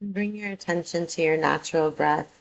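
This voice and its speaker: A woman teaching yoga nidra, guiding a meditation in a calm, soothing way with measured phrases and pauses. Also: low-pitched, vocal fry, rough recording. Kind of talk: guided meditation, soothing instruction.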